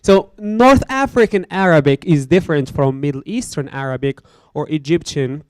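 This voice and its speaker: A man with a smooth, resonant voice lays out his points at a panel, rushing through some phrases with pauses and ums between them. The sound is dry and close to the microphone. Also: a little high, darkish tone, no echo. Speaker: Arabic teacher answering as panel guest. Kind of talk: panel discussion answer.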